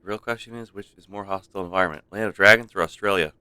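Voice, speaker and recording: Deep, bright-voiced male game streamer. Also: very fast, clean tone, no room sound. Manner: rambling, playful, mock-stern. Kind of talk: casual live-stream chat commentary. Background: soft game music.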